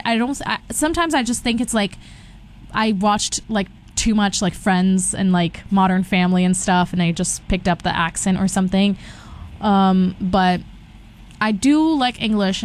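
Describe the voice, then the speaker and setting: A young woman from Brazil with a Southern Californian accent, a guest chatting casually with lots of fillers and restarts. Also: medium pitch. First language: Portuguese and Spanish. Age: young adult. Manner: rambling, many restarts and fillers. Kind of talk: casual interview chat.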